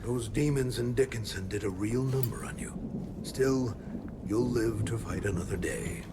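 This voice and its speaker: An actor playing a kindly frontier priest who speaks in a gentle, fatherly, sermonizing way. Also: medium pitch, moderate pace, noisy background, natural voice. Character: kindly folksy frontier padre, preachy.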